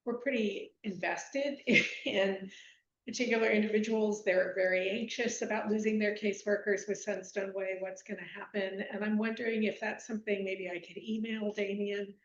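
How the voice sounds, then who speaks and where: A woman's voice: mid-range voice, steady tone, normal speed. A chatty woman volunteer speaks up at a neighborhood meeting and laughs lightly between her questions. The room is echoey and the sound is a little dull. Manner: chatty, laughs while talking. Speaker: mutual aid volunteer.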